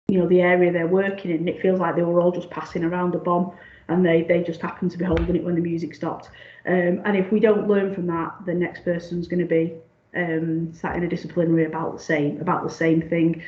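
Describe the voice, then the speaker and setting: A woman gives a seminar presentation over slides. She is croaky and talks haltingly, with many ums and restarts. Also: on the low side. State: croaky, clearing her throat. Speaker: union staff side lead presenting a seminar. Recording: echoey, dull lo-fi sound.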